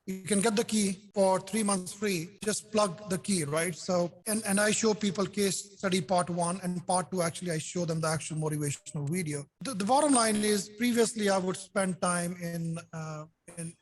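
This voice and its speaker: A man in his sixties, born in India, with a heavy blend of Indian and French accents. He is a developer chatting eagerly on a video call, restarting sentences and leaning on "so basically". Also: high-pitched. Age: 60s. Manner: enthusiastic, repeats "so basically", frequent restarts. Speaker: customer and software developer. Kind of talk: casual video call chat.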